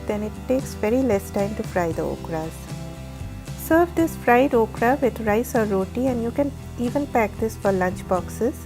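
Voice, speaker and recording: A woman with a raspy, heavy voice from a flu, giving careful step-by-step cooking instructions as the host of a recipe channel. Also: fairly high pitch. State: hoarse from a flu. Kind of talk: recipe voice-over narration.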